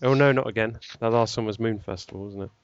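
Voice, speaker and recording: A man's voice: on the low side, quick pace, dry recording, highs cut off. A man hosting a casual podcast chat, joking and laughing often as he moves the talk along. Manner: jokey, laughs often, leads the topics. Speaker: podcast host.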